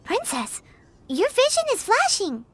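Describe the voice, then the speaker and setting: A woman voicing a tiny, blunt, excitable childlike fairy companion in a video game, with a squeaky voice. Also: unhurried, no room sound, heavily acted. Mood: eager, feisty. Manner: childish, talks in third person.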